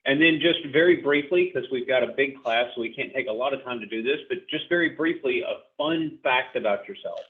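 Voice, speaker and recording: A man's voice: mid-range voice, brisk, hardly any pauses. Chatty man on a tinny video call. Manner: upbeat, chatty, encouraging. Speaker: sales trainer hosting a video call class. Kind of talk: casual group video call facilitation. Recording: tinny phone-like audio.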